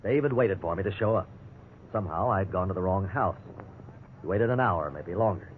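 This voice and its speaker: A man's voice: sits low, animated, moderate pace. An actor playing an earnest, indignant ordinary man wrongly held by police, in 1950s radio drama style. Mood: rueful narrating. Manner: earnest, aggrieved. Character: indignant, wrongly jailed ordinary man telling his story. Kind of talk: 1950s radio drama acting with first-person narration. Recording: tinny, noisy old radio recording.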